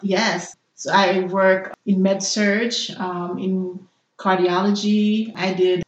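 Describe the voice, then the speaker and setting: Reflective woman with many pauses, roomy sound. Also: medium pitch, level tone, dull audio. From Haiti. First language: Haitian Creole. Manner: thoughtful, hesitant, with many fillers. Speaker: interview guest, nurse. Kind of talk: personal interview.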